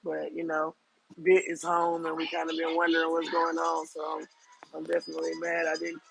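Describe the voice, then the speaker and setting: Hoarse, gravelly tired man. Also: fairly high pitch, monotone, fairly quick, a bit bright, rough recording. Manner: tired, easygoing. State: hoarse from being sick. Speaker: caller. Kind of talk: casual live audio chat.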